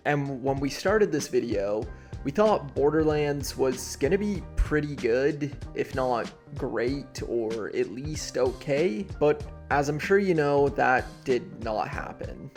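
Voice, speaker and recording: A man hosting a video essay, giving frank, informal, halting commentary straight to the viewers. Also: mid-range voice, vocal fry. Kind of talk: candid casual commentary to the viewers.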